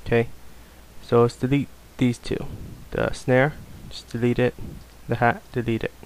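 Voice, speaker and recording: A man giving a casual, unscripted software tutorial, explaining things informally step by step. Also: mid-range voice, slight background noise, low-quality recording. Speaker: tutorial presenter.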